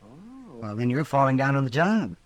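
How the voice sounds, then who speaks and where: An actor playing a crotchety, blustery older town doctor who grumbles and teases in a radio western. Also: male voice, mid-range voice, very animated, normal speed. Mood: wry, grumbling. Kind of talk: 1950s radio western acting.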